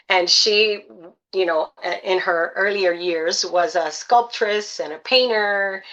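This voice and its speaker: A woman's voice: mid-range voice, fairly bright tone, lo-fi sound. A middle-aged woman from Puerto Rico, a salsa singer chatting as an interview guest, upbeat and full of uh and um fillers. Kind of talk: casual interview chat.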